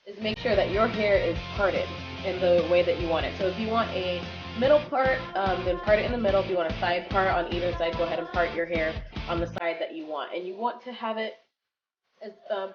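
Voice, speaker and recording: A woman's voice: fairly low pitch, slight background noise. Young woman vlogging, stuffy nose from a cold, lots of ums and likes, muffled sound. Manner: chatty, filler-heavy, rambling. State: congested from a cold. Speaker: beauty vlogger. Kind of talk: casual hair tutorial. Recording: dull lo-fi sound with background music. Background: music.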